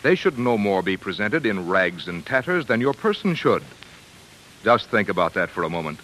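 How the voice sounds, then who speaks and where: A man working as a 1940s radio announcer, reading scripted advertising narration in a polished, measured way. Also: on the low side, sing-song, fairly bright tone, slight background noise, poor audio.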